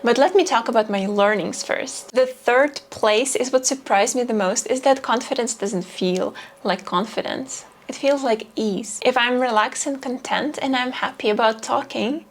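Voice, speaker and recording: Woman vlogging to camera, soft quiet voice a bit on the high side, candid and chatty. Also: moderate pace. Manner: candid, self-deprecating, chatty. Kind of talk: reflective vlog talk to camera.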